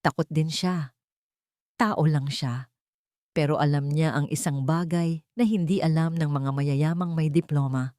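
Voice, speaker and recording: A woman with a Filipino accent narrating a dramatic story as an audiobook, phrasing it deliberately in short, suspenseful beats. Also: low-pitched, fairly even intonation, dark tone, dry recording, studio quality.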